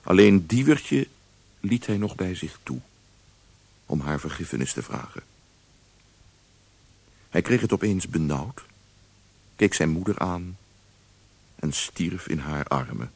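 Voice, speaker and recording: A man narrating a radio drama as a storyteller, measured and expressive in a scripted literary style. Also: low-pitched, creaky, no echo.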